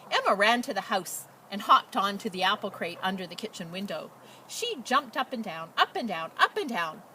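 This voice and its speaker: A woman speaking as a school storyteller outdoors, explaining and reading in a simple, animated way for children. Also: mid-range voice, normal speed. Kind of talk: casual introduction and expressive children's read-aloud. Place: outdoors beside a chicken coop. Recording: noisy outdoor recording with animal sounds.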